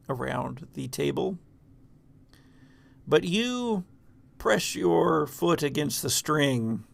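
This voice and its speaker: Slow, gravelly man running a game. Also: fairly high pitch. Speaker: game master of a tabletop RPG. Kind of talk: improvised tabletop game narration and chat.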